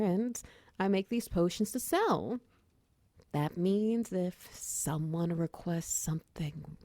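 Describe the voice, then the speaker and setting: A friendly minotaur girl who runs a magic potion shop, acted by a woman in an ASMR roleplay. Her voice sits a little low for a woman, with a chatty, giggly, slightly flustered manner. She talks straight to the listener, close and very dry. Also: mellow, dry recording. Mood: encouraging, then wry, exasperated. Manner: chatty, giggly, casual and conversational. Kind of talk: roleplay ASMR audio drama, addressing the listener.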